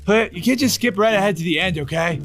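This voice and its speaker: A very high, whiny cartoon crewmate, self-absorbed and theatrical. He rambles like a storyteller, with faint music behind him. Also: fast talker, fairly bright tone. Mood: smug, rambling. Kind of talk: cartoon voice acting.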